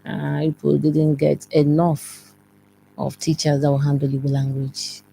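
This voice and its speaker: Brisk interviewer, okay after okay. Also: medium pitch, flat delivery, vocal fry, warm tone, slight background noise, poor audio. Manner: quick probing questions, frequent "okay". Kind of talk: radio-TV interview.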